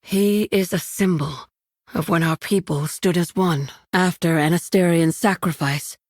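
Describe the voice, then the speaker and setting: An actress playing a dignified high elf noble in a video game, speaking formally and with reverence. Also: female voice, unhurried, dry recording. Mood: reverent. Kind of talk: dramatic video game voice acting.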